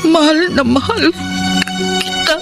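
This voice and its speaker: An actress playing a heartbroken, jealous and bitter betrayed wife in a Filipino radio drama, with an emotional, aching delivery. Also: female voice, high voice, expressive, measured pace, creaky, full character voice. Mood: anguished, wounded. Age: adult. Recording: prominent music under the voice.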